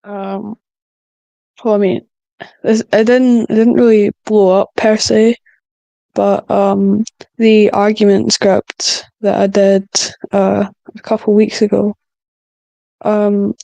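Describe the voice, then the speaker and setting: Female podcast guest, hesitant and modest, dark relaxed tone, flat delivery, casual. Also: medium pitch, slow, vocal fry, long pauses, no room sound, dull audio. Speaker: scriptwriter guest. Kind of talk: casual chat.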